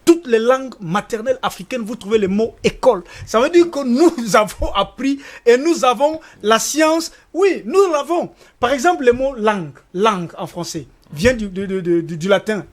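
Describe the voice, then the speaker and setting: A man's voice: extremely high pitch, moderate pace, few pauses. A man from Congo speaking as a panelist in a televised debate, stumbling over repeated words as he argues. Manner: hesitant, repeats words, animated.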